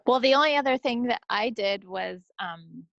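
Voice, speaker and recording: A woman's voice: on the high side, lively intonation, normal speed, gravelly, fairly bright tone, no echo, lo-fi sound. A woman co-hosting a craft podcast in a casual, conversational style. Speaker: podcast co-host. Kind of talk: casual chat about craft projects.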